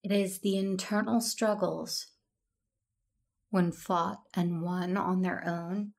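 Slow, creaky, solemn Sith philosopher. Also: female voice, on the low side. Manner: slow, measured, grave. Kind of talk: dramatic quotation reading in an audio drama.

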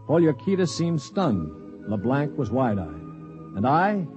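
A man's voice: medium pitch, sing-song, unhurried, muffled recording, music in the background. A man narrating and playing the wry, commanding lead of a 1950s science fiction radio drama. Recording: noisy 1950s radio broadcast.

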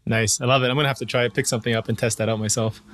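A man's voice: mid-range voice, fairly even intonation, very fast, fairly bright tone, a little hiss or hum. A man hosting a podcast interview, asking questions in a casual, encouraging way with hesitant fillers. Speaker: podcast host.

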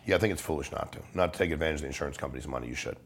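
Man, middle-aged, deep voice, podcast guest, blunt, slangy, emphatic, persuasive. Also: very fast. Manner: blunt, emphatic, swears, uses rhetorical questions and slang. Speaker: podcast guest, life insurance salesman. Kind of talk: casual, persuasive interview talk.

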